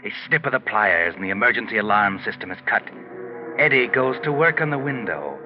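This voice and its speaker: An actor playing a sardonic, ominous narrator of a 1940s radio mystery. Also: male voice, fairly low pitch, animated, fairly quick, music in the background, lightly acted. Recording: muffled 1940s radio recording.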